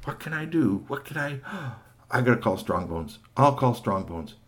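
A man's voice: medium pitch, measured pace. A man voicing a fussy, worried older male townsman who talks in urgent repeats in a storybook tale. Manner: excited, repeats himself urgently.

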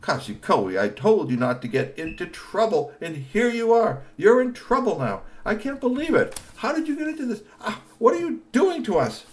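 A man's voice: medium pitch, animated, fairly quick, pitched above the performer's own voice, close to an everyday voice. A man voicing an exasperated older uncle who scolds his nephew. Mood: exasperated scolding.

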